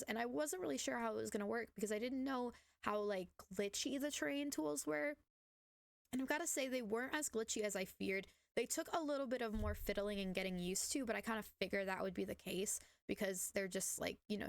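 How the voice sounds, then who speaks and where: Young woman, hoarse and scratchy from illness, rambling voice-over host, dry close sound. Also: medium pitch, dry recording. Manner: rambling, many fillers and self-corrections. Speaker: gaming channel host. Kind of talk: casual voice-over commentary on gameplay.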